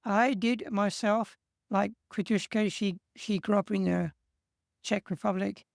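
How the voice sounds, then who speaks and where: A man from England with an English accent, co-hosting a casual chat and giving steady, earnest encouragement. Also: high voice, unhurried, creaky, no echo, studio quality. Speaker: co-host.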